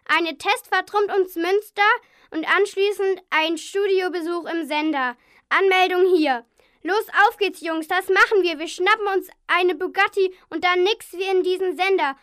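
A woman's voice: very high-pitched, normal speed, a bit bright, no room sound, studio quality. A young girl voicing an eager, scheming chocolate cookie villain in an amateur children's radio play. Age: child, primary school.

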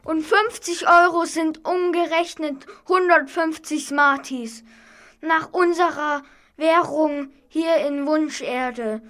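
A child playing a smug, greedy male villain in a children's radio play, speaking deliberately. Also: sits high, fairly bright tone. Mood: smug approval. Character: male villain with laser-sword hand, smug greedy schemer.

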